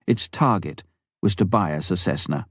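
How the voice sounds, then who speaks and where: A man reading a memoir aloud as scripted narration, with measured, expressive storytelling delivery. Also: deep voice, dry recording, studio quality. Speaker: audiobook narrator.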